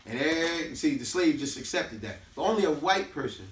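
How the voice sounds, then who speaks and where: American male, slightly high voice, preachy street-level teacher talking to companions, repeats for emphasis, recorded off-mic in an echoey room. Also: animated, moderate pace, fairly bright tone. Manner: emphatic, preachy, repeats himself with rhetorical questions. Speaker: host giving a talk on history and culture. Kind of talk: informal teaching talk to companions. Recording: echoey room, distant microphone.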